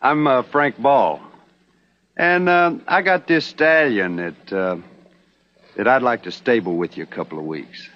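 A young actor playing a polite, smooth-talking stranger in an Old West TV drama, on a muffled vintage soundtrack. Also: male voice, medium pitch, unhurried, close to an everyday voice. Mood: polite, coy. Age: young adult.

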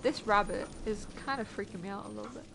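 A woman's voice: mid-range voice, quick pace, creaky, background noise. A woman chatting casually with friends while gaming, with playful, sweary banter. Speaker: gamer playing with friends. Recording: loud game music over voice. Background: loud video game music and effects.

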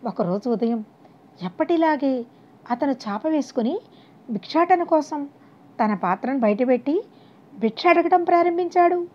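A woman's voice: sits high, animated, measured pace, long pauses. A woman narrating a scripted moral story as an audiobook narrator, on a dull, lo-fi recording.

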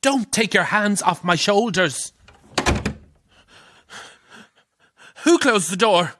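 An actor with an Irish accent playing a gossipy, superstitious pantomime dame performer, theatrical and exclamatory, in an audio drama. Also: male voice, high-pitched, expressive, unhurried, sharp tone, a little hiss or hum, full character voice. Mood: panicked. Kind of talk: naturalistic audio drama acting.

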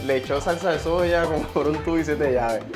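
A man's voice: on the high side, fairly quick. A man in his forties with a Puerto Rican accent, chatting casually as a cooking show guest and often repeating his phrases. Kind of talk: casual chat. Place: home kitchen. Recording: music playing behind the voice. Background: music.

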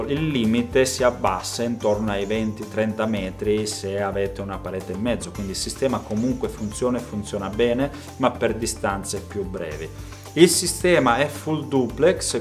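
A man, explaining a product to camera as a video maker giving a review. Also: medium pitch. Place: small home studio room, indoors. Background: background music.

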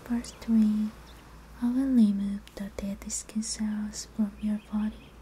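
A woman whispers in a very mellow, breathy voice as an ASMR spa therapist. She takes her time, guiding gently and soothingly. She is close to the microphone, with faint ambient noise behind her. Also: medium pitch, level tone. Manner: gentle, soothing, unhurried instructions.

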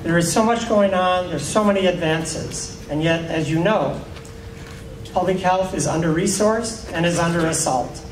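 A man's voice: high-pitched, normal speed, slight background noise. A man, a dean, giving an earnest rallying address to graduates at a podium. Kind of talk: ceremony address. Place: auditorium at a podium. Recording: echoey hall, distant mic.

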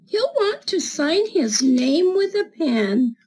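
A woman in her seventies reading a children's picture book aloud in a deliberate manner, as a storyteller talking to young listeners. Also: high voice, very animated. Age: 70s. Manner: deliberate, explaining pictures with gentle questions to children. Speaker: read-aloud storyteller for schoolchildren. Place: small home room with bookshelves, indoors. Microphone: webcam at desk distance.

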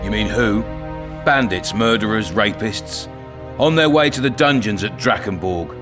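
An actor playing a cunning, blunt and sardonic town commandant in a dark fantasy video game. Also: male voice, on the low side, a little slow, lightly acted. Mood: scheming, sardonic. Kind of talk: dramatic video game acting. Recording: music behind the voice.

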